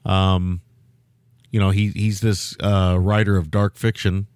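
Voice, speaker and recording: A man co-hosting a film podcast, talking in a loose, rambling way with lots of fillers and restarts, and a loud voice. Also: deep voice, little pitch movement, a little slow, clean tone, no echo. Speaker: podcast co-host. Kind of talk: casual film-review chat.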